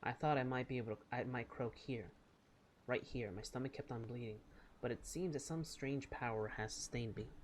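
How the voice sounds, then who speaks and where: A man's voice: medium pitch, moderate pace, a little hiss or hum. A man playing a video game and reading its text aloud in a subdued, dramatic way, now and then stumbling. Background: game music.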